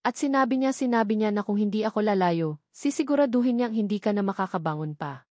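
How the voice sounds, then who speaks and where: A woman voicing an anguished, fearful young wife in an audio drama, halting and repeating her words. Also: medium pitch, animated, a little mellow, no room sound, studio quality, lightly acted. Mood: bitter, fearful. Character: anguished, fearful young wife, a former housemaid, self-sacrificing.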